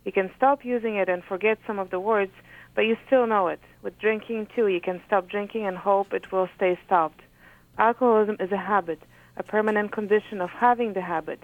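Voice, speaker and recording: Polish-born woman with a gravelly voice. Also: medium pitch, no room sound. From Poland. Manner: thoughtful, hesitant with frequent ums. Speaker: author, guest. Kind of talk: reading from her own book and chatting. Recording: phone-like radio audio.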